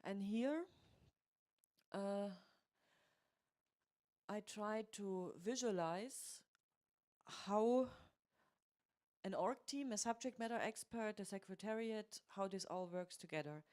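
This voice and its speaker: A woman at a planning meeting, calmly explaining to newcomers how teams are formed, with frequent fillers. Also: medium pitch, slow, pauses often. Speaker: meeting organizer.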